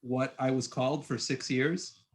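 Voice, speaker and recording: A young man hosting a podcast, telling stories in a loose, animated way with lots of restarts. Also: mid-range voice, unhurried. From born in Egypt, raised in Puerto Rico, Queens and Long Island. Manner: animated storytelling with frequent restarts and "like". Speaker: podcast host. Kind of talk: casual conversation.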